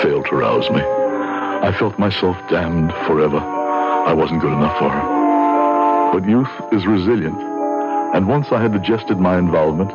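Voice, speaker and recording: Muffled, low-voiced, haunted young man. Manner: brooding, melodramatic, formal period diction. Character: guilt-ridden, hard-drinking young male medical student, bitterly remorseful. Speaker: actor and first-person narrator. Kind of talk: radio horror drama acting. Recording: muffled old radio recording with music.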